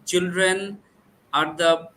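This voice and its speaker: Bright, eager host. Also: male voice, sits high, fairly even intonation, a little hiss or hum. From Bangladesh. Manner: halting, many fillers and restarts. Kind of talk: online video interview.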